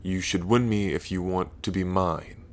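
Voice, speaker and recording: A man playing a lonely ancient dragon king in roleplay ASMR, proud yet bashful, with halting, deliberate phrasing. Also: low voice, steady tone, a little hiss or hum. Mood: playful, challenging. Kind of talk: roleplay ASMR audio drama.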